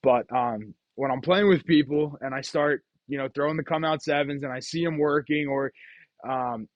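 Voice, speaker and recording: A man's voice: on the high side, normal speed, no echo. Casual man, dry and slightly muffled. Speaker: host of a gambling storytime show. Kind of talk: casual chat, storytelling about craps.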